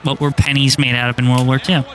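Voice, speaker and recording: A man with a fairly high voice co-hosting a podcast, chatting casually and rambling with lots of "like.". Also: flat delivery, quick pace, fairly bright tone. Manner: rambling, heavy use of "like". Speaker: podcast co-host. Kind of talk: casual chat while watching wrestling.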